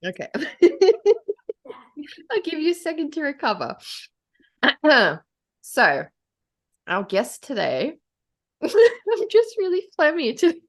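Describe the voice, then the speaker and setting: A woman's voice: high voice, wildly swooping pitch, takes it slowly, long pauses, a bit bright. A woman co-hosting a podcast in casual chat, giggly and rambling, saying "like" a lot. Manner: giggly and rambling, heavy use of "like". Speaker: podcast co-host.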